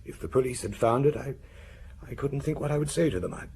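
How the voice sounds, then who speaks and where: A man's voice: medium pitch, fast talker, a little mellow, natural voice. A man playing an anxious, resigned murder suspect in an audio drama, hesitant and self-justifying as he answers questions. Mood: anxious, defensive. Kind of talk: radio drama acting.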